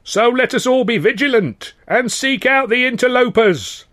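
A man voicing a fiery male union orator who declaims slowly and passionately to a crowd. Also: very high-pitched, expressive, fairly bright tone, pitched above the performer's own voice. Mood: impassioned. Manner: declaiming, slow and impassioned.

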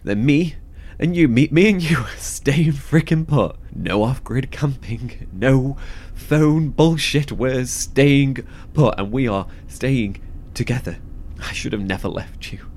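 A man acting a heartfelt, halting, foul-mouthed survivor devoted to his brother in a horror audio drama. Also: medium pitch, expressive, darkish tone. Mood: fiercely protective.

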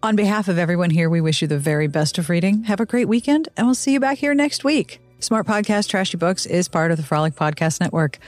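A woman's voice: lowish voice, lively intonation, fast talker, few pauses, darkish tone, dry recording, faint music behind. A woman hosting a podcast, reading outros and sponsor copy to her listeners. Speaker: podcast host. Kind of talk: podcast outro and host-read ad.

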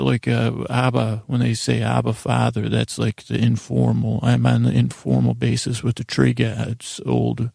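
Very gravelly, mellow American man. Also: mid-range voice, dry recording, studio quality. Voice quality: gravelly, raspy. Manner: meandering and halting, stammers and trails off mid-sentence. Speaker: host of a bedtime sleep podcast. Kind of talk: rambling, improvised bedtime monologue.

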